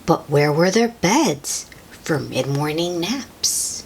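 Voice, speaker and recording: A woman hosts a picture-book read-aloud for little kids. Her voice sits a little low and swings widely in a sing-song, expressive way. There is a low rumbling noise behind her. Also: takes it slowly. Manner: animated, sing-song delivery with asides to child listeners. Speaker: children's read-aloud host.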